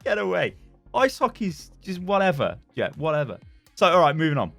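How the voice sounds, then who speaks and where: A man's voice: fairly high pitch, wildly swooping pitch, brisk, gravelly, a bit bright, no echo, soft background music. A young British man chatting casually as a gaming YouTuber, rambling and laughing as he reads and reacts to forum posts. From Britain.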